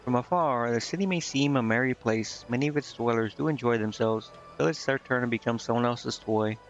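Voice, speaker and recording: A man with a mid-pitched voice streams a game, reading text aloud in a casual way. He trips over words at times and corrects himself. The sound is a little dull, with music playing behind him. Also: brisk.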